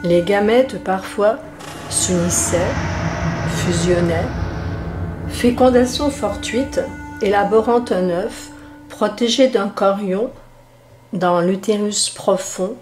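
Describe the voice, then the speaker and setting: A woman reciting an epic poem in a solemn, measured declamation, phrase by phrase. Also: medium pitch. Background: loud music.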